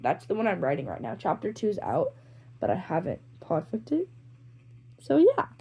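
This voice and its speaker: A woman's voice: fairly low pitch, huge pitch swings, normal speed, darkish tone, slightly rough, dull audio. A woman with an informal manner, reading her own fan fiction and chatting to listeners as an amateur narrator. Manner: informal, self-deprecating, rambling. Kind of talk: amateur story narration and casual chat to listeners.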